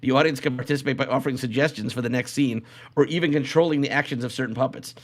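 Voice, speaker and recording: A man's voice: medium pitch, fast talker. A man hosting a casual live podcast, talking in a rambling way and often repeating phrases as he goes. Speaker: podcast host.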